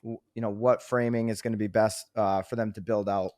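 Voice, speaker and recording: A man's voice: lowish voice, flat delivery, a little fast, darkish tone. A man co-hosting a business podcast, talking loosely and easygoing, with fillers and trailing thoughts. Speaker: podcast co-host. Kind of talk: casual business podcast discussion.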